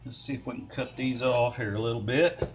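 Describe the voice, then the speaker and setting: A man's voice: mid-range voice, fairly even intonation, a little fast, noisy background. An older man, talking casually and colloquially to himself as he narrates a hands-on repair. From United States. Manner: folksy, colloquial, muttering to himself while working. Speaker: DIY mechanic, video host.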